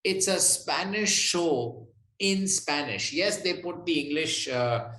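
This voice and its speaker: Guy being interviewed, slightly high voice, explains stuff and checks in a lot, roomy echo. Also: lively intonation, slow pace, bright, forceful tone. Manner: explanatory, often checking with "right?". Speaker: interview guest. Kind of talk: conversational interview answers. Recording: roomy, echoey sound.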